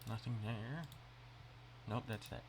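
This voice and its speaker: A man's voice: lowish voice, takes it slowly, background noise. A man giving casual live gameplay commentary as a streamer, muttering to himself. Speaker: game streamer. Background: game music and clicking.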